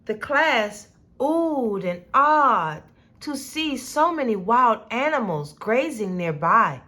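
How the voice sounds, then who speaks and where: A high-pitched woman narrates a children's story. Her delivery is slow and deliberate, with very animated intonation that rises and falls expressively. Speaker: storybook reader. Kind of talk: children's read-aloud narration.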